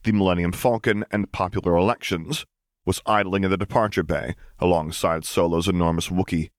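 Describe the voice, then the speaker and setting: A man with a low voice narrates a piece of fiction from a script. The recording is close and dry, with very little room sound. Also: fairly even intonation, fairly quick, no echo. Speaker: audiobook narrator of fan fiction.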